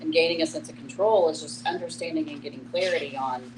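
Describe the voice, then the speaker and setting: A woman with a low-pitched voice leads a casual discussion, chatting and stressing points by repeating words. There is clear noise and hum behind her. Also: fast. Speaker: discussion moderator.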